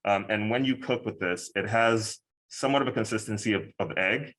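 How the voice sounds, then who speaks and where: A man's voice: fairly low pitch, brisk, a bit bright, rough recording. Sex: man. Role: upbeat cooking instructor. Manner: upbeat, encouraging, many fillers. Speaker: cooking instructor and hot sauce maker. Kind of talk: hands-on cooking demonstration.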